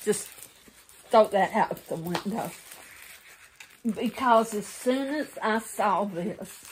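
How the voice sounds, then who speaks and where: A woman's voice: medium pitch, slight background noise, poor audio. A middle-aged woman chatting casually at home while showing a craft kit, rambling and correcting herself as she goes. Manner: slow, rambling, self-correcting. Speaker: crafting vlogger. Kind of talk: casual show-and-tell chat. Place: home, seated indoors. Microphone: phone camera pointed down at her lap.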